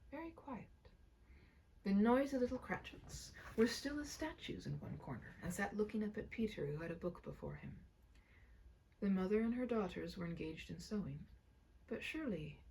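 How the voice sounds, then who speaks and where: A woman narrating a literary story aloud in a dramatic, expressive way, with hushed soft passages. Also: medium pitch, vocal fry, slight background noise, poor audio. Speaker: amateur audiobook narrator.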